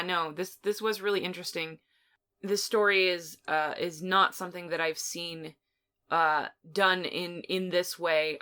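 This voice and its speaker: A woman's voice: fairly low pitch, bright, forceful tone. A woman co-hosting a podcast, chatting casually as she reviews films. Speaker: podcast co-host. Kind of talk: casual film review chat.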